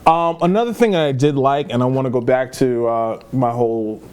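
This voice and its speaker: A man's voice: medium pitch, normal speed, a little mellow, clear, resonant tone. A man co-hosting a casual film review chat, opinionated and often repeating himself for emphasis. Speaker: podcast co-host reviewing films.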